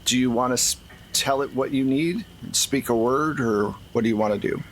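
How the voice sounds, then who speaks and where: A man's voice: medium pitch, normal speed, rough recording. Man game master narrating over faint noise. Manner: conversational, descriptive storytelling. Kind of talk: narrating a horror tabletop roleplaying game. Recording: faint background ambient noise.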